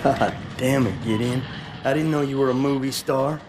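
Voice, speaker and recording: An actor playing a brash young soldier in a game, crude and jokey, bantering in slang. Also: male voice, mid-range voice, normal speed, heavy background noise, natural voice. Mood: teasing. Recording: loud music under the voice.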